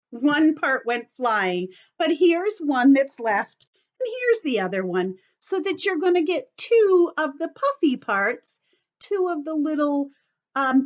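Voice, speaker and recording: A woman's voice: sits high, dull audio. A woman teacher demonstrating a craft step by step for young children, speaking simply and instructively and addressing them directly. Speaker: children's story-time teacher showing a craft.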